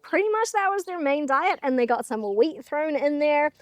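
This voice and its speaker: A middle-aged woman from New Zealand speaks with her local accent in a fairly high voice. She hosts a casual explanatory vlog, sounding chatty and down-to-earth, and gives her opinions plainly. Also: animated, moderate pace, no room sound. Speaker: video host, chicken keeper.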